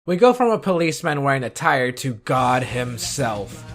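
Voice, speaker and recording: Male video host, mid-pitched, scripted list commentary with dry self-mocking asides, music underneath. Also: sing-song, normal speed. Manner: wry, self-deprecating asides.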